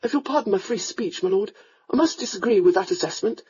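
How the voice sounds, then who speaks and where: Polite, formal valet, played by the narrator: husky, mellow tone. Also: mid-range voice, lively intonation, fast talker, highs cut off. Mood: deferential. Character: deferential male valet, a servant.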